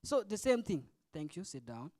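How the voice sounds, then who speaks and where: A man's voice: high-pitched, sing-song, normal speed, darkish tone, dry recording. South sudanese guy in his 20s, student leader speaking at a forum, short teachy phrases, dry sound. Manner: didactic, short phrases, questioning the audience. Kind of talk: impromptu forum speech.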